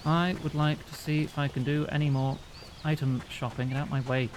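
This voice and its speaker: A man's voice: on the high side, little pitch movement, fairly quick, a little hiss or hum. A man giving casual, joking commentary while he plays a video game and thinks aloud. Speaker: streamer. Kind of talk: casual let's-play commentary. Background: game music.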